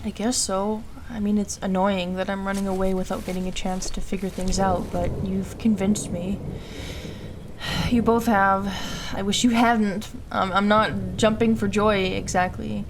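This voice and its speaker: A woman's voice: mid-range voice, flat delivery, a little slow, background noise, close to an everyday voice. A woman playing an anxious, self-doubting young woman in an audio roleplay, hesitant and emotional, defiant when pushed. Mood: resigned. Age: young adult.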